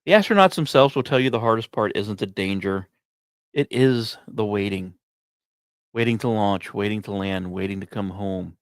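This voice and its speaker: A man hosting a podcast, reading scripted space and science narration with occasional asides, in dramatic storytelling phrases broken by short pauses. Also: on the low side, no echo, clean studio sound. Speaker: podcast host.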